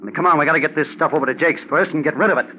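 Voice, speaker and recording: An actor playing a scheming, impatient crook leader with a curt manner in a 1940s radio crime drama. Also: male voice, fairly high pitch, rapid-fire, natural voice. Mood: tense. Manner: curt, impatient, tough talking. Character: tense, scheming male crook, the bossy leader. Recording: muffled 1940s radio recording, faint noise.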